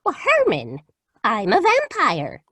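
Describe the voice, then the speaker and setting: A woman acts out a sweet old lady vampire in a radio play. The voice is high and very animated, lingering over each phrase. She chats about killing with theatrical, blithe ease, in a dry, close sound. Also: takes it slowly, no echo, exaggerated voice. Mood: blithe, chatty. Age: older. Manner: chatty, theatrical, matter-of-fact about killing. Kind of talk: 1940s-style radio horror acting.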